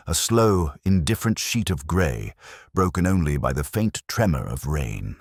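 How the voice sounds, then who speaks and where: A man playing a melancholy, reflective police detective, narrating an audio drama in a measured, literary manner. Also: rumbling low, slightly rough, dry recording, clean studio sound, exaggerated voice. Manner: measured, literary, brooding delivery. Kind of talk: scripted first-person audio drama narration.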